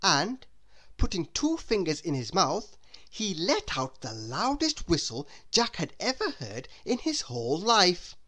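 A woman reading a children's story aloud in a deliberate, expressive way, as if to a young listener. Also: on the low side. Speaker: storybook reader. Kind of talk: scripted children's story narration.

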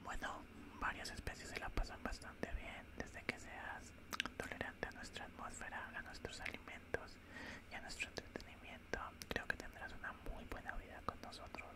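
A young man playing a calm, politely menacing alien doctor in a soothing whispered ASMR roleplay, close to the microphone. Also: natural voice. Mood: reassuring. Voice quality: soft, breathy unvoiced whisper. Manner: slow, soothing, matter-of-fact. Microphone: close studio microphone.

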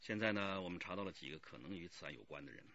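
An earnest, wry detective from a radio crime drama. His lowish voice is bright and forceful with a husky edge. He explains things deliberately and expressively. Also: expressive, no room sound, dull audio, heavily acted. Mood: concerned, explaining. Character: earnest, wry male police detective on the case.

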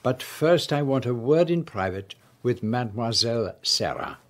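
Radio play: man voicing a sleuth, formal and measured, mid-pitched. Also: animated, natural voice. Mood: calm, knowing. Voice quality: formal, measured diction with French touches. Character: fussy foreign male detective, precise and polite. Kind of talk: radio drama acting.

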